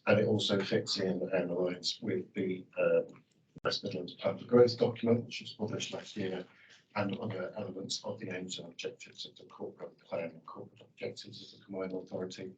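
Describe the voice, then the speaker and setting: A man with a very deep, slightly croaky voice presents a formal policy update to a committee. He speaks in long, jargon-heavy sentences with occasional fillers. He sounds set back from the microphone. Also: steady tone, brisk, recorded from a distance, highs cut off, slight background noise. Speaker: officer presenting a report.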